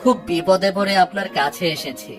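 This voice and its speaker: A man's voice: high voice, normal speed, soft background music, natural voice. A man voicing a poor, humble village father in a Bengali moral cartoon, pleading and deferential.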